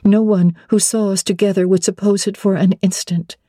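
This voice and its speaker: A woman's voice: medium pitch, level tone, moderate pace, warm tone, no room sound, clean studio sound, close to an everyday voice. A woman voicing an earnest, proud young woman with a direct manner in a classic novel reading. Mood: nettled, defensive. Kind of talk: dramatic audiobook reading of classic literature.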